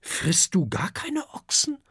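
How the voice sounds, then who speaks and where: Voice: mid-range voice, huge pitch swings, takes it slowly, a bit bright, slightly rough, no room sound, clean studio sound, voice pushed up, heavily acted. A storybook narrator voicing a small young male fire dragon, timid but clever, who talks politely and explains things. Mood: polite, curious.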